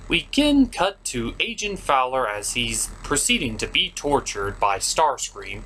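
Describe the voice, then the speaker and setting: A man's voice: mid-range voice, pushed and bright, low-quality recording. A man narrating a scripted review in a sarcastic, mocking, sometimes profane manner. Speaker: cartoon reviewer, host.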